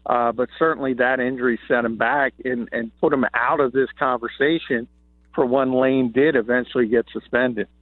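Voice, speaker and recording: A slightly hoarse man, an NFL columnist calling in, gives confident, opinionated analysis with many "uh" fillers. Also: medium pitch, fairly even intonation, moderate pace, dry recording. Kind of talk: sports radio analysis by phone. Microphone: phone. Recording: tinny dry phone line.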